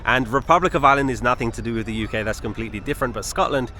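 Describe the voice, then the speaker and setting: A man's voice: medium pitch, very fast, bright, forceful tone, a little hiss or hum. A man with an English accent talking casually and enthusiastically as a travel vlogger, leaning on emphatic words. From England. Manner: enthusiastic, repeats intensifiers. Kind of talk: casual walking travel vlog. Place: outdoors on city streets and in a park.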